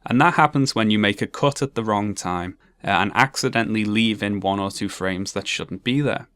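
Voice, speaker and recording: Monotone sarcastic male video essayist. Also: lowish voice, moderate pace, smooth, no echo.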